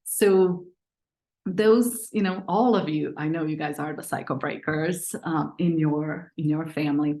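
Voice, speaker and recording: A woman whose first language is Portuguese leads a live online parenting session. Her voice sits a little low, and her delivery is earnest and conversational. She reads audience chat replies aloud as she goes. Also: steady tone, unhurried, dark tone. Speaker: parenting coach.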